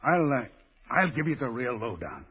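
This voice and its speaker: An actor playing a streetwise American gang boss with a soft spot, talking insistent slang in old-time radio drama style. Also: male voice, mid-range voice, very animated, normal speed, slightly rough. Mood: cagey, persuasive. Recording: muffled vintage radio broadcast.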